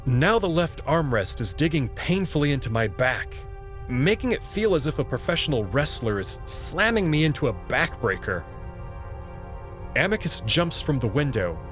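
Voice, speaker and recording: A man narrating a first-person sci-fi story in a slow, measured reading. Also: medium pitch, sing-song, fairly bright tone, faint music behind.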